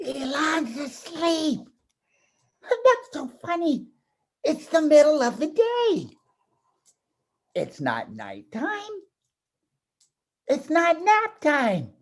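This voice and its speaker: A man's voice: extremely high pitch, huge pitch swings, very slow, long pauses, full character voice. A man voicing an eager, curious, childlike puppet friend in a children's puppet show, full of exclamations and repeated words. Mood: surprised, apologetic.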